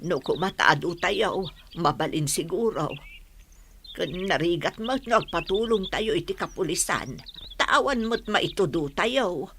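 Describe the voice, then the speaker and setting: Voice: mid-range voice, lively intonation, measured pace, vocal fry, fairly bright tone. A performer with an Ilocano accent playing a resigned villager in an earnest radio drama conversation. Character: resigned villager talking over troubles with a kinsman. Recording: noisy recording with clicks.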